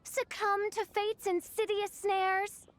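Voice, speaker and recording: High, slow, grandiose princess. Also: female voice, level tone, noisy background. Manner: pompous, archaic, declamatory. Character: theatrical self-styled gothic princess, teenage girl, grandiose. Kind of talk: video game character dialogue. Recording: music playing behind.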